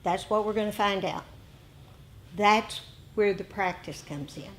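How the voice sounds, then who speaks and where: A woman teaching meditation informally to a group, speaking in short, measured phrases with pauses between them. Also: mid-range voice. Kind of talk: informal dharma talk and group discussion. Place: meditation group meeting room. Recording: noisy live room recording.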